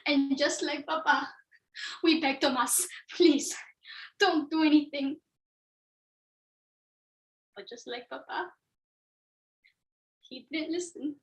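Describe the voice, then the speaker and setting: A woman performing a ten-year-old Honduran girl, telling her story in a hushed, tearful way. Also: very high-pitched, echoey room, far from the mic, heavily acted. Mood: grieving. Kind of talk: competitive dramatic interpretation performance.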